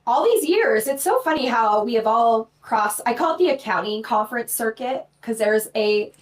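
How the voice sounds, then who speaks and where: A woman in her forties talking as a podcast guest in a casual live chat, blunt, brash and quick to mock herself. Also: on the high side, lively intonation. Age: 40s. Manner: blunt, brash and self-mocking.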